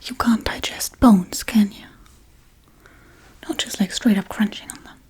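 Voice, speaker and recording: A woman playing a scatterbrained, bossy witch in a whispered ASMR role-play, with breathy, casual, deadpan delivery. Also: mid-range voice, measured pace. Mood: teasing, musing. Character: scatterbrained, bossy Halloween witch tending her captive.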